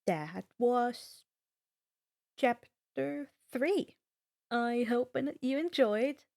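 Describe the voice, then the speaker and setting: A woman's voice: fairly high pitch, drawn-out words, gravelly, a little mellow. A woman reading a story aloud as a fan fiction narrator.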